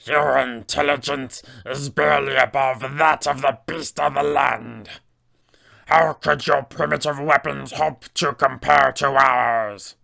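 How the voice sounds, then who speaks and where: A man voicing a cold, haughty alien gnome mind flayer with slow, pompous, formal speech for a tabletop villain. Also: medium pitch, fairly even intonation, gravelly, bright, forceful tone, full character voice. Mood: haughty menace.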